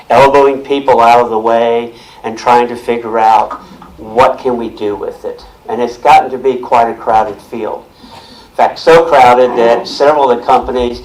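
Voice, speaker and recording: A man speaks loudly and plainly to a room of listeners through a mic. His voice sits at an ordinary middle pitch. He restarts and repeats words as he works through his explanation. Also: poor audio. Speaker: guest speaker on technology. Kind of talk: explaining to a club audience. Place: meeting room with audience. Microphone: handheld or stand mic with speaker system.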